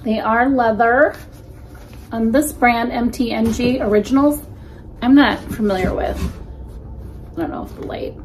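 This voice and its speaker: A woman's voice: on the high side, a little slow, pauses often, background noise. A woman in her forties chatting casually on camera with lots of ums as she looks over used shoes, in a small room. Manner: chatty, easygoing, frequent ums. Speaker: reseller vlogger. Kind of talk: casual unboxing commentary. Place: small indoor room against a plain wall.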